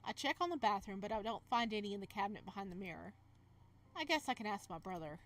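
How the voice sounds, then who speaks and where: A woman's voice: medium pitch, expressive, fast, lightly acted. A woman reading as the weary, practical male protagonist of a visual novel, frank and reasoning in manner. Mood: weary, puzzled.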